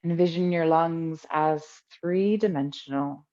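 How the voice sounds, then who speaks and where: A woman teaching a guided breathing meditation in a calm, unhurried way with long pauses between instructions. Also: sits low, lo-fi sound.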